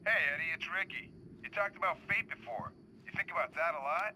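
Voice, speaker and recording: An actor playing a naive, eager radio caller who rambles earnestly. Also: male voice, on the high side, a little fast, bright, forceful tone, a little hiss or hum, low-quality recording. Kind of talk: video game cutscene acting.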